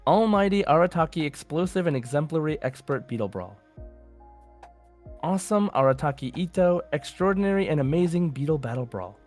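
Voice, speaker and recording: A man hosting a quiz podcast in a casual, conversational way, reading out deliberately as quizmaster. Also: fairly high pitch, darkish tone.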